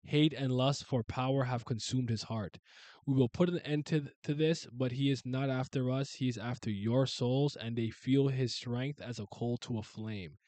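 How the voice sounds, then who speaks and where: A man voicing proud, quarrelling male gods in archaic speech, slow and declaiming. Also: mid-range voice, a little mellow, no room sound, close to an everyday voice. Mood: solemn. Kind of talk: reading a comic aloud in voices.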